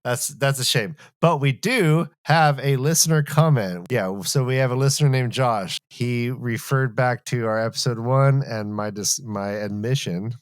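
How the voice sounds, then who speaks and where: A man's voice: mid-range voice, a little slow, hardly any pauses, no echo. A man co-hosting a casual book podcast, talking in a jokey, mock-serious way. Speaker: co-host of a fantasy book re-read podcast.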